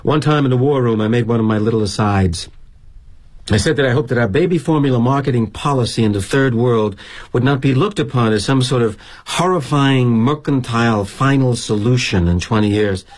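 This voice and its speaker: A man playing a husky, wry corporate executive. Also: mid-range voice, brisk, close to an everyday voice. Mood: rueful, confiding. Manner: arch, wry, mannered phrasing. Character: jaded middle-aged corporate executive, darkly wry, self-justifying. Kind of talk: dramatic monologue, theatrical storytelling.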